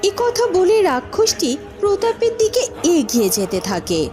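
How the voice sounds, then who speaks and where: উঁচু, প্রাণবন্ত গলার নারী গল্পকথক. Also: female voice, very high-pitched, wildly swooping pitch, normal speed, over music. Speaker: narrator. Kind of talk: animated storybook narration for a cartoon.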